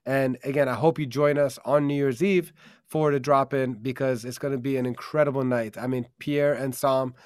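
A man's voice: medium pitch, steady tone, moderate pace, a little mellow, no room sound, studio quality. A man born in Germany and raised in America, hosting a live stream in an upbeat, earnest way with many fillers, over a video call. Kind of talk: casual promotional live-stream talk.

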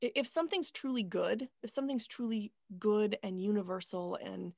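Gravelly-voiced woman podcast host. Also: medium pitch, moderate pace, a little mellow, no room sound, clean studio sound. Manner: earnest and philosophical, swearing freely. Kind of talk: casual chat.

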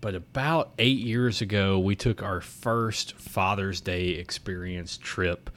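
A man's voice: low voice, slow pace, a bit bright. A man in his thirties from southern Indiana, chatting casually and joking on a podcast. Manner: joking, boisterous. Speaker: podcast host. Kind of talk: casual chat.

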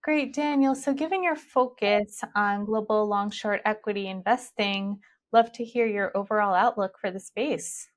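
A woman with a middle-pitched voice hosts a podcast. She introduces her guest and asks her questions in a polite, smooth, scripted way. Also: normal speed. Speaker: podcast host.

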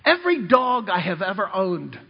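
A man preaching a sermon in a high, husky voice, spinning funny personal anecdotes and checking in with the congregation as he goes. The sound is dull and lo-fi, with long reverb trailing off the walls of a church hall. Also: big pitch swings, moderate pace. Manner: storytelling, calls out to the congregation. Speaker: preacher and missionary. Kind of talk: sermon with humorous personal stories.

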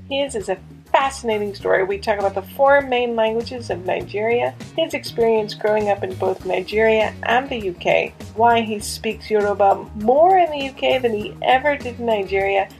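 A woman's voice: medium pitch, rough recording. A woman podcast host introducing and narrating in a wry, conversational way. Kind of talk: scripted podcast narration and interviewing.

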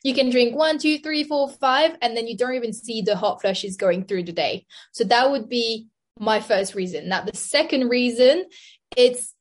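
A young woman with a French accent talks as a guest on a podcast, searching for her words now and then and leaning on "like". Her voice is somewhat high. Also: normal speed. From France. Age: 20s. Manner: searches for words, laughs, uses "like" often. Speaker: nutritionist guest. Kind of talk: podcast interview.

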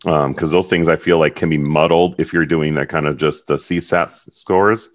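Deep-voiced man rambling with fillers. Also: steady tone, moderate pace. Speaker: panelist. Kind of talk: casual panel discussion. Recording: muffled lo-fi audio.

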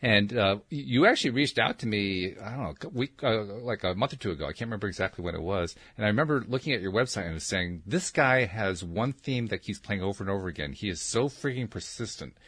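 A man's voice: fairly low pitch, lively intonation, fast, few pauses, a bit bright, breathy edge, no echo. A man hosting a talk show, chatting casually with many fillers and restarts, laughing easily as he introduces a guest. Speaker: show host. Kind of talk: casual interview chat.

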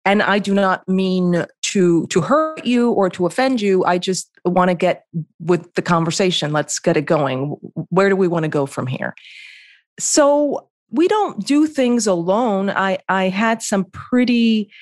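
Slow, blunt New woman. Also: mid-range voice, expressive, no room sound, studio quality. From the Bronx, New York. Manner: blunt, candid, plainspoken. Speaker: guest, history professor. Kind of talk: casual interview talk. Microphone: remote call.

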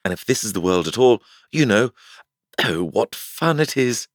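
A man's voice: fairly low pitch, sing-song, moderate pace, dry recording, clean studio sound. A man voicing a shy, eager little girl full of wonder in a storybook. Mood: eager, wistful.

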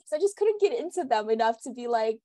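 A woman's voice: on the high side, lively intonation, quick pace, dark tone. A woman originally from Russia, a guest author chatting casually on a podcast, laughing often and correcting herself as she goes.

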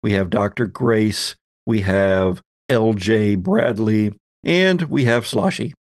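A man's voice: on the low side, takes it slowly, no echo, studio quality. A man hosting as game master, narrating a tabletop roleplay story in a theatrical, folksy storyteller's way. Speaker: podcast host and game master.

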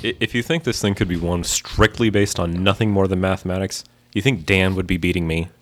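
A man's voice: sits low, fairly even intonation, a little fast, fairly bright tone, a little hiss or hum. A man co-hosting a podcast, trading dry, teasing banter with friends. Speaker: co-host. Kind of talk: casual chat among friends.

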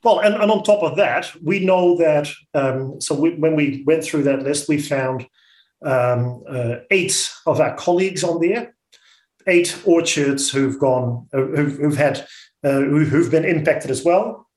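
A man with a Dutch accent speaking as an interview guest, blunt and outspoken, often halting and repeating words. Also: a little high, darkish tone, echoey room. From Netherlands. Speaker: interview guest, orchard business owner. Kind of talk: radio interview.

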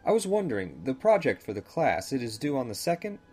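A man's voice: medium pitch, very animated, a little fast, darkish tone, faint music behind, lightly acted. A man playing a stiffly courteous college classmate in an amateur audio drama, speaking in formal, stilted phrases. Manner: formal, stilted, without contractions.

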